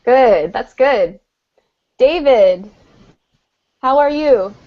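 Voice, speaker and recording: A woman teaching a beginner English class online, speaking patiently and clearly with an encouraging manner. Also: high voice, lively intonation, extremely slow. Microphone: video call. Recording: dull lo-fi video call audio.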